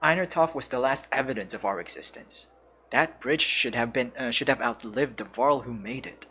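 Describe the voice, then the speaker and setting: Giant horned warrior, grim, defiant, husky voice, mid-pitched, read out by a man. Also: big pitch swings, normal speed, sharp tone, exaggerated voice. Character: proud weary male giant horned warrior.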